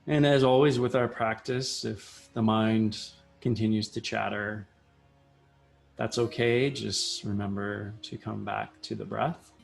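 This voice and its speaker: A man leading a guided meditation in a soothing style, speaking in short, measured phrases with long pauses. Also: fairly low pitch, fairly even intonation, gravelly. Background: soft plucked guitar music.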